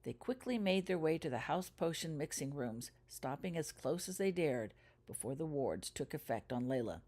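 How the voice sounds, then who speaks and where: A woman's voice: low-pitched, big pitch swings, moderate pace, dark tone. A woman narrating a story as an author reading their own fiction, in a scripted audiobook style.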